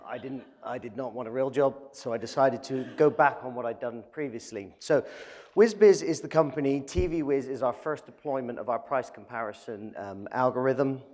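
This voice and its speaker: A man's voice: medium pitch, in a large hall. A man with an English accent presenting his startup at a live event, talking conversationally with many fillers. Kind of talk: business pitch presentation.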